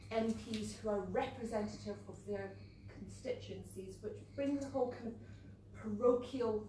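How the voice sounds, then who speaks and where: A woman with a Scottish accent, asking a hesitant, searching question from the audience at a meeting. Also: on the high side. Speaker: audience member. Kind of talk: question from the floor. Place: meeting room at a conference fringe event. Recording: very echoey room, distant mic, faint noise.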